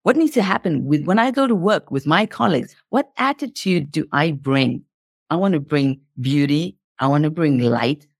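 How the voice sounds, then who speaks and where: A woman's voice: deep voice, expressive, normal speed, no room sound. A woman speaking as an interview guest, explaining in an impassioned motivational style and repeating phrases for emphasis.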